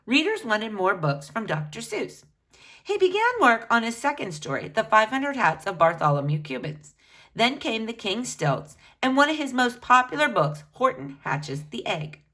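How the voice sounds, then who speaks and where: A woman reading a biography aloud for children in an expressive, teacherly way. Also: on the high side, normal speed. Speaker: children's book reader.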